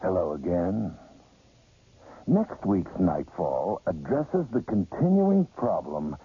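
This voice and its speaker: A man playing a sinister, knowing host of a radio horror anthology, with a gravelly, husky voice and ominous, deliberate delivery. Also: low-pitched, very animated, a little hiss or hum, exaggerated voice. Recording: slightly dull old radio recording.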